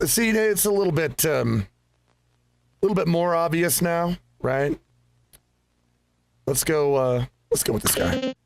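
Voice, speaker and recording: Higher-voiced man giving casual game commentary. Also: big pitch swings, unhurried, creaky, long pauses, smooth, dry recording. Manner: conversational, musing, rambling. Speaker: host of a gaming channel.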